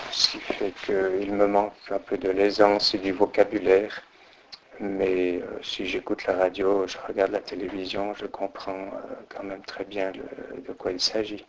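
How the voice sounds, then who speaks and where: Hesitant middle-aged man, lowish voice. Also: rough recording. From Portugal. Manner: hesitant, many fillers and restarts. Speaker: interviewee. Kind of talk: oral history interview.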